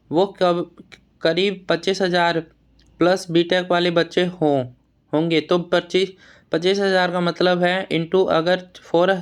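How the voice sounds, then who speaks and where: High-pitched, smooth-voiced man. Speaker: exam news vlogger advising job aspirants. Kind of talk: informal explanatory update addressed to students.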